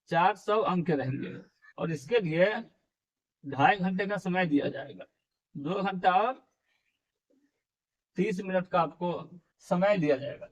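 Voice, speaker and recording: A man's voice: high-pitched, poor audio. A young man running an exam coaching channel, explaining scores to viewers in a chatty lesson style and repeating each key number. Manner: repeats key figures, addresses viewers as friends. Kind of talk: explanatory exam-prep video.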